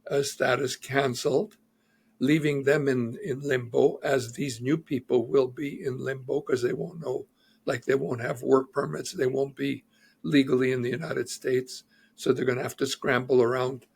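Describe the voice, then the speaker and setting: A man's voice: mid-range voice, steady tone, moderate pace, creaky, slightly rough. A man who is an immigration lawyer, methodically explaining legal news in an interview and citing figures and reports.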